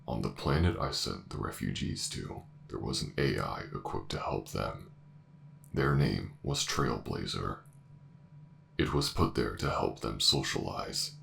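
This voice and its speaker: A man's voice: very deep voice, creaky, a bit bright, a little hiss or hum, heavily acted. A man playing an ancient, coldly logical ship's AI in a sci-fi audio roleplay, speaking formally and without emotion. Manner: formal, measured, emotionless delivery. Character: ancient warship AI director, cold, logical, ruthless. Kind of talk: scripted sci-fi audio drama acting.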